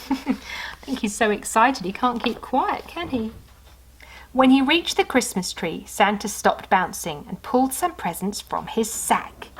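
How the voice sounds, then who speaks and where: A woman narrating a children's storybook in an animated style, with chatty asides to young listeners. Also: fairly high pitch, unhurried, slight background noise, rough recording.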